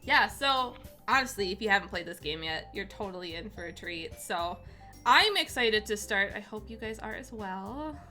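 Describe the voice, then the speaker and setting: A woman's voice: medium pitch, animated, moderate pace, bright, forceful tone, soft background music. A woman hosting a livestream in a casual, chatty style. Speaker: streamer, host.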